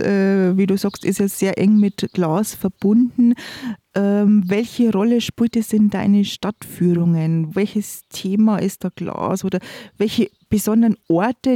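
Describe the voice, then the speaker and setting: A woman hosting a podcast, asking measured questions with small fillers. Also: medium pitch, mellow, no echo, clean studio sound. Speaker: podcast host. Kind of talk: interview questions.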